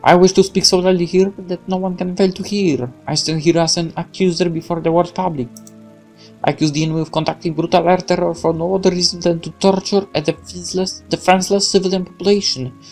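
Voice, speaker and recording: A man voicing a grandiose wartime propaganda minister in a game, declaiming a solemn, loud political speech. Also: high-pitched, fairly even intonation, quick pace. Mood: defiant, accusing. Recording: music under the voice.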